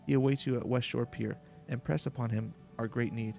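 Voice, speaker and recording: A man voicing a solemn, courteous fantasy game elder, speaking in formal, measured, archaic phrases. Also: medium pitch, fairly even intonation, gravelly, darkish tone, faint music behind, lightly acted. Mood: grave.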